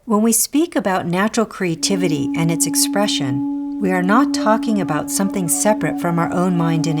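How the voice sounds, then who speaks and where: A woman reading a scripted spiritual teaching in a measured, deliberate way, pausing between short phrases. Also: lowish voice. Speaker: reader of a Buddhist teaching. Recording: music playing under the voice. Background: prominent music.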